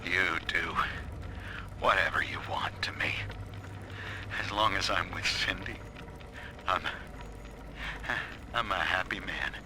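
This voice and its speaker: Very deep, worn-down defiant captive. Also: male voice, background noise. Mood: resigned devotion. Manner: slow, bitter, resigned. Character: defiant, worn-down captive father devoted to his daughter. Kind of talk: dramatic video game voice acting.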